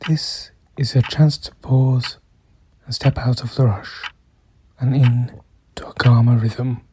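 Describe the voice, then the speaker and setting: A meditation guide speaking softly and soothingly, in measured phrases with long pauses and a breath that shows at times. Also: medium pitch, steady tone, a little hiss or hum. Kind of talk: slow guided meditation narration.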